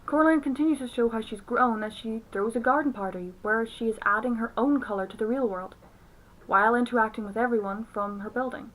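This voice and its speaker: A woman's voice: fairly high pitch, a little fast, a bit bright, rough recording. A young adult woman narrating a scripted film review as the reviewer.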